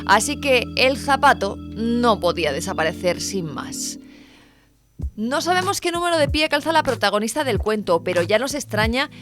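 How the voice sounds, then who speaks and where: A woman's voice: on the high side, big pitch swings, moderate pace. A woman narrating a light scripted list video in a playful, wry, questioning manner. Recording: music behind the voice. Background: music.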